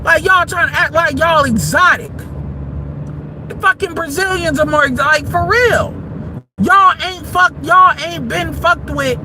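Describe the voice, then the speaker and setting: A man, delivering a heated, profane monologue that rises into shouts. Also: very high voice, measured pace, noisy background. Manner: heated, combative, swearing freely, rising to shouts. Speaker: livestream commentator.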